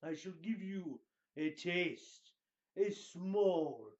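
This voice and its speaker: A man voicing a pompous, theatrical wizard host who gives grandiose dramatic readings with heavy pauses. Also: high voice, darkish tone, lo-fi sound. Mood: grandiose, portentous.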